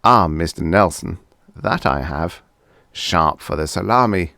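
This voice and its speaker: A man's voice: deep voice, animated, a little slow, bright, forceful tone, no room sound, clean studio sound, heavily acted. A man voicing a fat, simple, jovial stockholder who speaks effusive, quaint broken English in an audiobook story. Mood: jovial, beaming. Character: fat, oily, jovial, simple-minded salami-loving stockholder.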